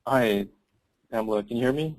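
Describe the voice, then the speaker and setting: A man's voice: medium pitch, moderate pace, a little mellow, no room sound, poor audio. A man acting as webinar organizer, giving informal closing remarks in a polite, somewhat hesitant way. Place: indoors, online call.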